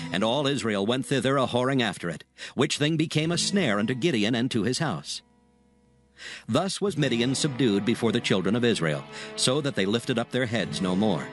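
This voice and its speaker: Guy narrating bible audio drama, solemn but really expressive pitch, medium voice, music behind.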